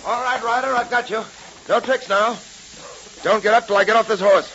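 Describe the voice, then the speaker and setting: An actor playing a firm, commanding police detective hero in a 1930s radio serial. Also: male voice, high voice, fairly even intonation, normal speed, bright, forceful tone. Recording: muffled, hissy 1930s radio recording.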